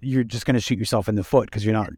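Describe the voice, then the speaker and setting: A man speaks in a fairly low voice as an interview host. His delivery is casual and halting, often searching for the next word. The sound is dry, without room echo. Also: steady tone, warm tone, husky.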